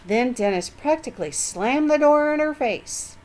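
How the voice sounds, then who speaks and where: An older woman reading a children's book aloud as storyteller, with expressive, deliberate narration. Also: high voice.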